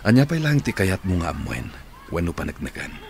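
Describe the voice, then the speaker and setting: A man's voice: sits low, sing-song, brisk. A middle-aged man in a radio drama playing an earnest, persuasive man who is courting a woman.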